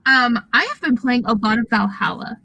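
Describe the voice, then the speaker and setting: A woman's voice: a little high, animated, normal speed, vocal fry, pushed and bright. A woman guest on a gaming podcast, chatting casually. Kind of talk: casual chat.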